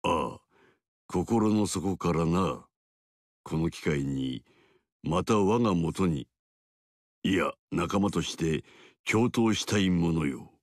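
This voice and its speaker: A deep-voiced, commanding warlord. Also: male voice, lively intonation, no room sound, clean studio sound, exaggerated voice. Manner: measured, authoritative, formal speech. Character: commanding male warlord, middle-aged, proud. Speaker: game character. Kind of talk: video game cutscene dialogue.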